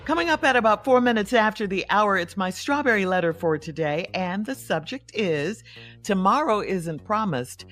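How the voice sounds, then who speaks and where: Bright, animated female radio co-host. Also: mid-range voice, normal speed. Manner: animated, playful. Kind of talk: radio segment announcing and banter. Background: faint music.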